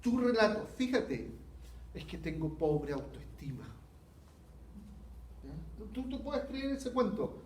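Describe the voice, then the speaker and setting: A Chilean man preaching a sermon, earnest and unhurried, asking his listeners rhetorical questions. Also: sits high, sing-song, a little mellow, slight background noise. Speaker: pastor. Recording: echoey hall.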